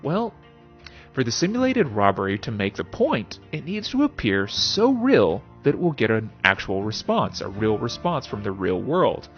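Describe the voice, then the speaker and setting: A man delivers an explanatory monologue over faint music. His pitch swings widely as he leans on key words with theatrical emphasis and checks in with rhetorical questions. The recording is a little dull and lo-fi. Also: very animated, normal speed. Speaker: host of a philosophy talk series. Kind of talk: explanatory philosophy monologue, conversational asides. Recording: dull lo-fi sound.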